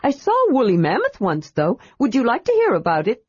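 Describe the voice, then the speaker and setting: A woman's voice: fairly high pitch, huge pitch swings, fairly quick, husky, dry recording, muffled recording, pitched above the performer's own voice, heavily acted. A woman voicing a small, cheerful and curious kender who rambles eagerly.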